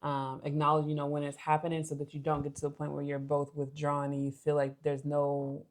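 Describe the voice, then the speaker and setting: A young woman with an American accent co-hosting a relationship show, explaining things casually and haltingly as she thinks aloud. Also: low voice, completely flat delivery. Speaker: co-host of a couples' relationship show.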